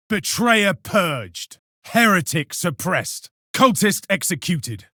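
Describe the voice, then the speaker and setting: Proud, dramatically swooping space marine zealot. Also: male voice, high-pitched, pushed and bright, dry recording, studio quality, exaggerated voice. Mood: cold contempt. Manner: declamatory, solemn, militant, commanding. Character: proud zealous armored space marine warrior, male, contemptuous of foes. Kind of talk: video game combat voice lines, theatrical declamation.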